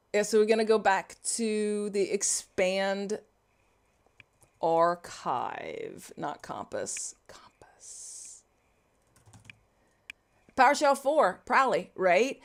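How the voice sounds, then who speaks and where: Cajun-accented woman livestreaming code, chatty, riffs on chat comments, mid pitch. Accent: Cajun English. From Louisiana. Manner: chatty, reads viewer comments aloud and riffs on them. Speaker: livestreaming developer. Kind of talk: casual livestream tutorial chat.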